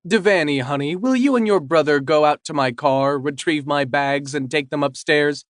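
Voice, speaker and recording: A man's voice: fairly high pitch, lively intonation, normal speed, no echo, studio quality, voice pushed up, natural voice. A man voicing a distraught estranged wife who is tearful, clingy and cutting. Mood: pleading, possessive.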